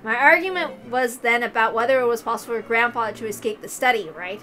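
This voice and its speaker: Casting: woman playing a calm, dismissive young guy in a mystery, bright-toned, casual and unruffled. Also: a little high, fast talker, faint music behind, lightly acted. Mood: calm rebuttal.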